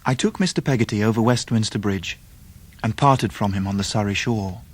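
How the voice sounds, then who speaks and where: An actor playing a reflective English gentleman who narrates his own past in measured, literary style for a radio drama. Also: fairly low pitch, natural voice. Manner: measured, literary, well-spoken. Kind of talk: scripted first-person narration in radio drama.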